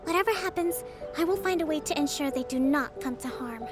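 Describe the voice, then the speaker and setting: A woman as a calm, high-voiced priestess. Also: close to an everyday voice. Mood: reassuring. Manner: calm, measured, reassuring, methodical. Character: calm young female priestess-leader, meticulous strategist. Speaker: divine priestess of an island. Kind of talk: video game voice acting. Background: game music.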